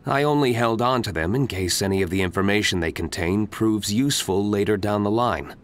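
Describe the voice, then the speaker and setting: A man voicing a cool, reserved young nobleman in a video game, speaking calmly and formally. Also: fairly low pitch, fairly quick, close to an everyday voice. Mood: calm, reassuring. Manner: calm, terse, formal. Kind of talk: video game character dialogue. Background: game music.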